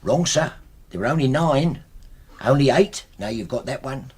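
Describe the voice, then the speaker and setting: Radio drama manservant, deferential, anxious, hesitant homely speech, swooping intonation, slightly rough voice. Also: male voice, medium pitch, expressive, normal speed, a little mellow. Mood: flustered. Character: deferential, anxious, loyal male household servant.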